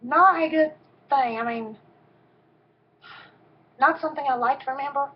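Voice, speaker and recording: A woman in her fifties with a soft voice, giving a personal testimony in a halting way with frequent pauses and ums. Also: high voice. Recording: dull, lo-fi recording.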